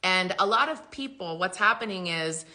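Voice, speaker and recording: A woman's voice: fairly low pitch, expressive, normal speed, pushed and bright. A woman spiritual coach talking casually and emphatically to her followers, swearing freely and laughing often. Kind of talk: casual talk to followers, livestream-style.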